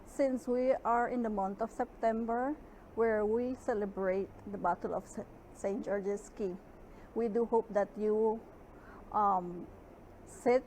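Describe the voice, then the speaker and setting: A woman's voice: medium pitch, steady tone, takes it slowly, a little mellow, rough recording. A woman hosting at a library, formally welcoming listeners and introducing a guest. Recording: background noise in the recording.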